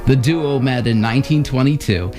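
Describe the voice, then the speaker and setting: A man's voice: medium pitch, moderate pace. A man reading a scripted introduction as the narrator of a vintage radio series. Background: music.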